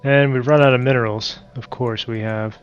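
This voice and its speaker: A man's voice: medium pitch, steady tone, moderate pace, smooth, dull audio. A man with a stuffed-up nose from allergies, casually thinking aloud while playing a multiplayer strategy game. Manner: thinking aloud, muttering to himself. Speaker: game streamer. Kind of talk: casual multiplayer gameplay commentary.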